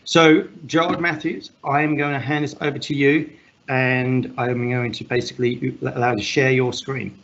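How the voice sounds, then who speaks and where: A man hosting an online meetup in casual talk. He has a loud, projecting voice and rambles and jokes, with lots of fillers. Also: mid-range voice, flat delivery, normal speed, muffled recording, a little hiss or hum. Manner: rambling, filler-heavy, joking. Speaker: meetup host.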